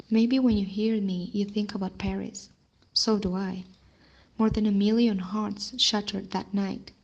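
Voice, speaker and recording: A woman's voice: mid-range voice, vocal fry, darkish tone, rough recording. A woman performing slam poetry as a poet, speaking in an earnest, emphatic way with rhetorical questions and repetition.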